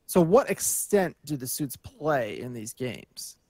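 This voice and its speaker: A man's voice: mid-range voice, sing-song, slow pace, no room sound. A man co-hosting a casual gaming chat, talking in a blunt, informal way. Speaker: show co-host. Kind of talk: casual video game panel chat.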